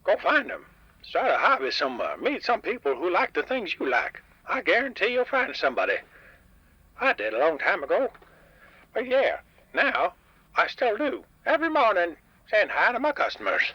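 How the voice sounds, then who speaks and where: A crotchety, folksy old diner owner from a comedy radio drama, acted by a man. The voice is sharp and bright with big swings in pitch, heard through a slightly roomy radio sound. He is gruff but warm-hearted underneath. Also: wildly swooping pitch, moderate pace, muffled recording, heavily acted. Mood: earnest, folksy. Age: older. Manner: grumpy, folksy, hesitant phrasing.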